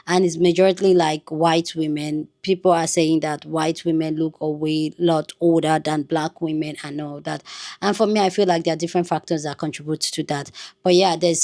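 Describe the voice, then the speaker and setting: A woman's voice: sits low, a little mellow, no echo, studio quality. A woman hosting her own channel, chatting casually and greeting her viewers directly. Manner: friendly, addresses viewers directly. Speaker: reaction channel host.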